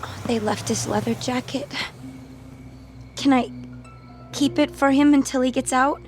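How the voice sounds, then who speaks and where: High-voiced, anxious young daughter. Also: female voice, measured pace, darkish tone, soft background music. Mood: sorrowful. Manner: hesitant, distressed. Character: anxious, tender daughter torn over her boyfriend. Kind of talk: radio drama acting.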